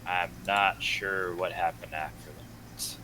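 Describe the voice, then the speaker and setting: A man's voice: deep voice, slow pace, low-quality recording. A man playing a tabletop game in character, with formal, stiff phrasing. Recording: clear steady background noise.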